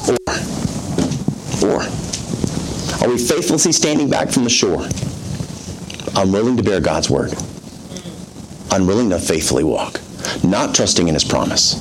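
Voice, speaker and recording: A pastor with a soothing voice, preaching a conversational sermon with self-deprecating jokes in a church service. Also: male voice, lowish voice, noisy background.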